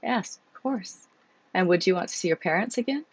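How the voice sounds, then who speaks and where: A hoarse woman reading as a curious, gently probing young woman. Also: on the low side, lively intonation, moderate pace, dry recording, dull audio. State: hoarse with a cold.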